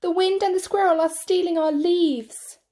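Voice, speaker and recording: A woman's voice: very high voice, fairly even intonation, moderate pace, warm tone, voice pushed up. A woman voicing an anxious, caring young male fox cub in a storybook, earnest and pleading. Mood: alarmed.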